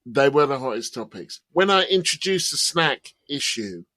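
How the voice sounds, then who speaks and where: A man's voice: medium pitch, normal speed, a crowd in the background. A man co-hosting a podcast in casual chat, telling stories and acting out what others said. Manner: animated storyteller who acts out quoted voices. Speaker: podcast co-host.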